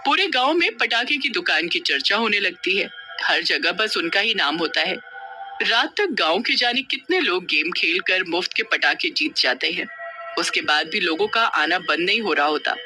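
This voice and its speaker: A storyteller narrating a scripted children's tale in an expressive, dramatic manner. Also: medium pitch, moderate pace, soft background music, rough recording.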